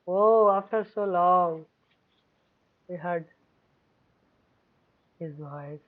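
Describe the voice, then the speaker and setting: A woman game streamer reacting casually as she plays, muttering halting asides. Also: fairly low pitch. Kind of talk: casual live gameplay reaction. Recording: dull stream mic with background noise.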